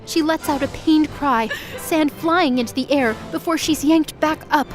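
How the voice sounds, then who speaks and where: A woman's voice: sits high, normal speed. A woman reading dramatic scripted narration as the storyteller of an audio drama. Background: music.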